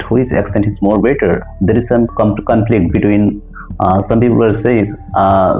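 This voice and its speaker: A man with an Indian accent speaks politely and hesitantly, with many "uh" pauses and a respectful "ma'am". His voice is low and mellow. It is heard through dull video-call audio with soft music in the background. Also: creaky. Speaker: English learner. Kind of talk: casual lesson chat.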